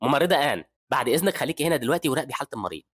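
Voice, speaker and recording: A man doing a calm, confident young doctor hero. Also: medium pitch, rapid-fire, slightly rough, no room sound, studio quality, voice pushed up. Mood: steady. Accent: Egyptian Arabic. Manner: steady, matter-of-fact.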